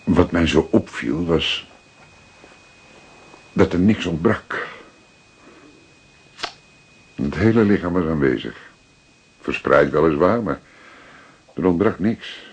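A seasoned Dutch police detective, older and paternal, with a very husky, low voice. His delivery is methodical and emphatic, swinging widely in pitch as he reasons through a crime drama. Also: full character voice. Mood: calm, explanatory. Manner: deliberate, emphatic, repeating words for effect. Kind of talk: dramatic detective dialogue acting.

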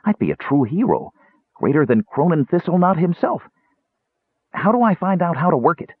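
A tinny, band-limited recording carries a man playing a small fantasy Kender, high and wildly animated. He is naive, earnest and eager, chattering like an excitable youngster in a storybook. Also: normal speed, husky, dry recording. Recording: narrow tinny radio-like band.

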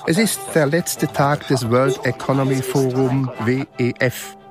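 A man reading stage directions as a radio play's narrator, calm and matter-of-fact. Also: mid-range voice, unhurried, over music. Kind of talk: scripted narration.

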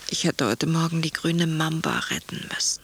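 An actress playing a cool, teasing wife in a radio play, arch, breathy and sardonic, with a creaky voice. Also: female voice, sits low, sing-song, moderate pace, full character voice. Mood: provocative. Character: cool, teasing, elegant wife, provocative and mocking.

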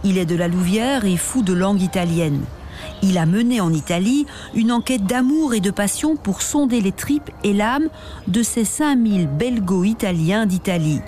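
Woman reporter, scripted narration, measured delivery, faint music underneath. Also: medium pitch, animated, warm tone.

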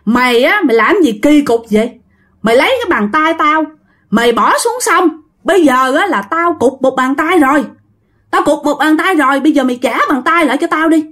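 Woman doing angry dead old guy in a ghost story, high voice, snarly, keeps repeating threats. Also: animated, normal speed, pauses often, pushed and bright, heavily acted. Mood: angry, demanding. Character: vengeful dead old man demanding his severed hand back.

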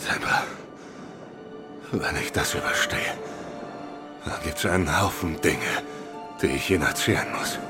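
A man's voice: very deep voice, unhurried, pauses often, loud music behind the voice. An actor playing a badly beaten, frightened man in pain, weak and gasping, in a German audio drama. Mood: weak, urgent.

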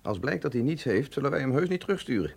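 Wry scientist with swooping intonation. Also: male voice, medium pitch, sing-song, fast talker, creaky, a little mellow, breathy edge, full character voice. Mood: wry, ironic. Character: wry, skeptical male physiologist, urbane and philosophical. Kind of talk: Dutch radio drama acting.

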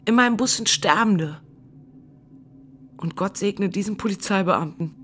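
A woman's voice: medium pitch, a little hiss or hum, natural voice. A woman acting in a radio drama as a devout bus driver fleeing disaster, telling it earnestly and emotionally in the first person.

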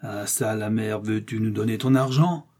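Man playing a gruff, brutal bandit chief. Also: on the low side, normal speed, darkish tone, lightly acted. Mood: menacing. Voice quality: rough, gruff.

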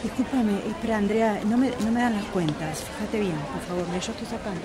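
A woman's voice: mid-range voice, fairly even intonation, fast talker, a loud crowd, natural voice. A woman with an Argentine accent acting an exasperated, anxious shop owner in an amateur radio play, insistent and pleading. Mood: worried, impatient. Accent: Argentine Rioplatense.

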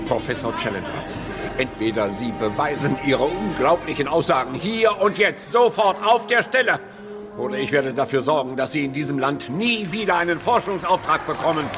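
An actor playing a pompous, stern male institute director in a radio drama, speaking formally and deliberately. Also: fairly high pitch, lively intonation, over music, natural voice. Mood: stern, indignant. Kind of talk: theatrical German radio drama acting.

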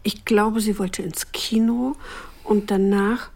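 An actress playing a dazed, grieving middle-aged mother, fragile and halting, in a radio crime drama. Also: mid-range voice, steady tone, gravelly, darkish tone. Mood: dazed, faltering. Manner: halting, trailing off. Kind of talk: naturalistic German radio crime drama acting.

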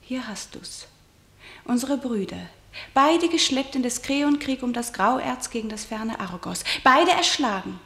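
A woman's voice: fairly high pitch, lively intonation, a little slow, fairly bright tone. A woman playing a defiant, grieving sister in a classical tragedy radio play, delivering verse in a solemn, stylized way. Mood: grave, bitter. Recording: echoey room sound.

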